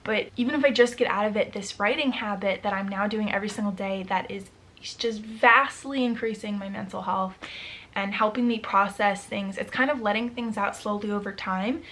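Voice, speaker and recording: A young woman vlogging casually to camera, chatty and upbeat, her voice a little hoarse from overuse. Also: mid-range voice, normal speed, fairly bright tone. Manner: chatty, upbeat, with frequent fillers. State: slightly hoarse, losing her voice. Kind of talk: casual vlog talk to camera.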